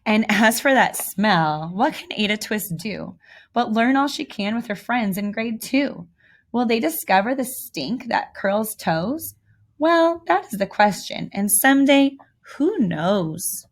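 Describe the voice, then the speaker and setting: A woman's voice: a little high, lively intonation, takes it slowly. A woman reading a children's picture book aloud with theatrical emphasis and little asides to young listeners. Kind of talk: expressive read-aloud storytelling.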